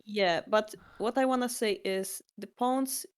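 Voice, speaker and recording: A woman's voice: mid-range voice, measured pace, clean tone. A woman with a Polish accent teaching a board game, patient and explanatory, with slightly non-native phrasing. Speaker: shogi teacher.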